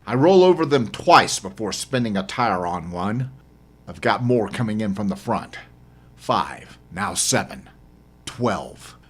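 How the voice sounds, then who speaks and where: A man reading his own horror novel as dramatic first-person narration, husky and intense in delivery. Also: lowish voice. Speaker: author reading own audiobook.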